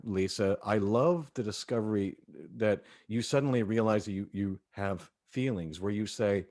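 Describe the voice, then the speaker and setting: A man's voice: lowish voice, a little mellow. A man hosting a casual cast discussion about an audio drama, enthusiastic and prompting the others.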